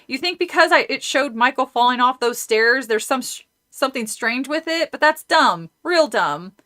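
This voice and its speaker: Prankster boy ballplayer, teasing and defiant, bright and highish, read by a woman. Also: animated, moderate pace. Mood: dismissive.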